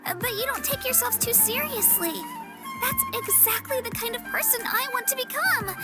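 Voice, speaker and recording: A woman's voice: very high voice, expressive, normal speed, loud music behind the voice. A woman voicing an earnest, modest young warrior girl in a video game, youthful and a little hesitant. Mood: admiring. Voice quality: youthful, girlish. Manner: earnest, self-effacing, hesitant. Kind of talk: video game character voice acting.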